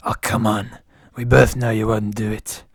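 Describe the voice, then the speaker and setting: An actor playing a gleeful, mocking villain, a blacksmith in an audio drama, with a gravelly, husky voice. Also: male voice, on the low side, little pitch movement, normal speed, dry recording, clean studio sound. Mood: smug. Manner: mocking, goading. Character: gleeful taunting villainous blacksmith, the wife's lover.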